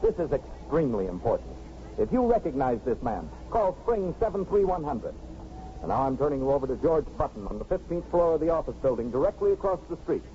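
An actor playing an urgent, formal radio announcer reading a bulletin in a 1950s radio drama. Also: male voice, a little high, very animated, fairly quick. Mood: urgent, measured. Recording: noisy, muffled old radio broadcast.

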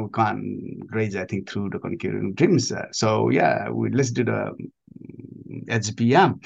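A man in his forties from Nepal with a Nepali accent, answering interview questions haltingly and repeating himself as he searches for words. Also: fairly low pitch, vocal fry, lo-fi sound. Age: 40s. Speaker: interview guest, adventurer and army veteran.

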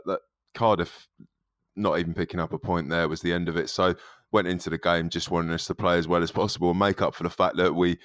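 Deep, smooth-voiced man, dry and wry. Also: fairly bright tone, no room sound. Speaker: podcast co-presenter. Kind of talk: casual football podcast chat.

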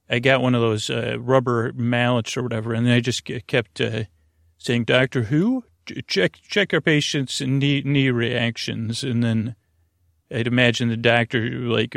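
A man hosting a sleep podcast in a meandering, halting bedtime monologue, full of restarts and whimsical, punning tangents, with a gravelly voice. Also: medium pitch, dry recording, studio quality. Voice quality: creaky, gravelly. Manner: whimsical, punning, silly asides.

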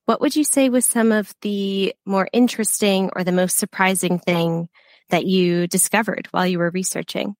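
An eager young woman from the States hosts a book podcast. Her voice sits at a middle pitch. She talks straight into a close, dry microphone, upbeat and quick to gush. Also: steady tone, no echo. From United States. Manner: bubbly, effusive, quick to gush. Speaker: podcast host. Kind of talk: enthusiastic podcast interview.

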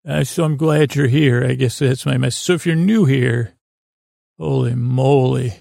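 A man hosting a bedtime podcast in a gravelly voice, rambling in a halting, meandering, self-deprecating way. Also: medium pitch, mellow, no room sound, clean studio sound. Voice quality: gravelly, creaky. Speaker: podcast host.

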